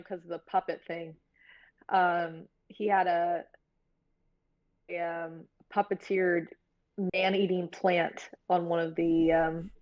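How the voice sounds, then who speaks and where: A woman's voice: fairly low pitch, darkish tone, no room sound, muffled recording. A woman chatting casually and candidly as an interview guest, talking like a filmmaker about her work.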